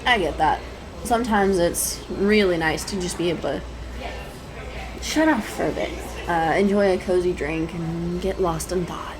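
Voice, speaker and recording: A woman's voice: on the low side, moderate pace, natural voice. A woman playing a shy, adorkable young writer in an audio roleplay, speaking hesitantly with stammers, nervous giggles and apologetic phrasing. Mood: awkward, friendly. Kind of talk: intimate one-sided audio roleplay acting. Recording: clear background noise, cafe ambience effects. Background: coffee shop sound effects.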